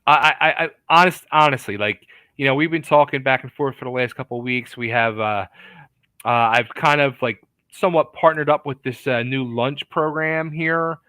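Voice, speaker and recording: A man hosting a casual restaurant interview show, chatty and animated, with lots of fillers and restarts. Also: medium pitch, moderate pace, clean tone, no room sound. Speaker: podcast host.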